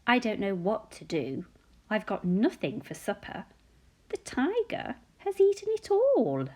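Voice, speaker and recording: A woman's voice: medium pitch, wildly swooping pitch, unhurried, darkish tone, breathy edge, pitched above the performer's own voice, heavily acted. A woman voicing a kindly, hospitable mother from a children's storybook in a polite, sing-song manner. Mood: at a loss. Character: Sophie's mummy, kindly hospitable young mother.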